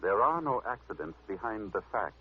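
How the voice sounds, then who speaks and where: A reassuring American druggist with a low voice and lively emphasis. He sounds earnest and scripted on a tinny old radio commercial. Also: moderate pace, slightly rough. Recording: tinny vintage radio recording.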